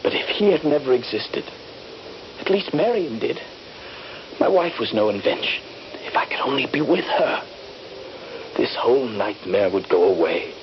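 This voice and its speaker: An actor playing a desperate, haunted, wounded young soldier in a 1970s radio drama, moving between hushed whispers and cries. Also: male voice, medium pitch, big pitch swings, moderate pace, pauses often, noisy background, close to an everyday voice. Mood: pleading longing. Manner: anguished, theatrical, swinging from hushed whispers to cries. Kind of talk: 1970s radio drama acting with first-person narration. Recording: dull 1970s radio recording, music behind.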